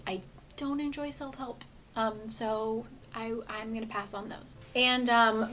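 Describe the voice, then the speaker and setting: A woman with a somewhat hoarse voice, chatting earnestly about books in a casual vlog, full of rambling asides. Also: a little high, a little hiss or hum. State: hoarse, voice gone a bit. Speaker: book vlogger. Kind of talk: casual book review chat.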